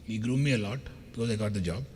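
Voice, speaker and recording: A man's voice: on the low side, fast talker, darkish tone. A middle-aged man with a scratchy voice, giving a chatty, joking motivational keynote to an audience. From India. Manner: chatty, anecdotal and joking, addressing the audience directly. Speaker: keynote speaker. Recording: long hall reverb with faint background noise.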